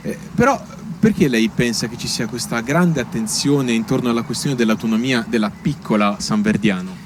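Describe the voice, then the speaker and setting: An actor as a probing interviewer, roomy and distant. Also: male voice, mid-range voice, animated, normal speed, close to an everyday voice. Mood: challenging. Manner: probing, hesitant eh before points. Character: probing male news interviewer, polite but insistent. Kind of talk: naturalistic stage drama acting, news interview. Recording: roomy, distant, clearly noisy stage recording.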